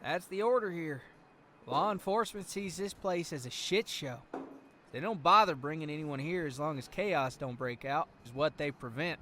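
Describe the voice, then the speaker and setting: An actor playing a tense, resigned truck driver in an audio drama, forceful and agitated. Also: male voice, fairly high pitch, expressive, normal speed, slight background noise.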